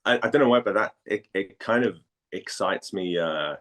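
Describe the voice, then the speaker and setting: A man talks casually as an interview guest. He speaks in a halting way, circling back over phrases as he searches for words. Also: low-pitched, a bit bright. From Denmark. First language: Danish.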